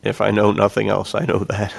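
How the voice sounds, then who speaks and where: Very creaky-voiced man chatting casually. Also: on the low side, monotone, a little fast, noisy background. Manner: laid-back, jokey, informal slang. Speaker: podcast co-host. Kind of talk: casual film discussion.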